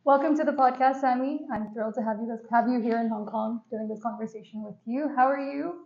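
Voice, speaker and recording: A woman's voice: a little high, a little fast, a little mellow, lo-fi sound. A woman hosting a podcast, giving a polished, enthusiastic introduction and asking interview questions. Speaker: podcast host.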